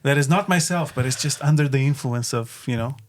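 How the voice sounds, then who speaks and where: A man from Portugal, a native Portuguese speaker, hosting a casual podcast chat in a reflective, halting way. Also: medium pitch, a little hiss or hum. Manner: reflective, halting with frequent fillers. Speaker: podcast host.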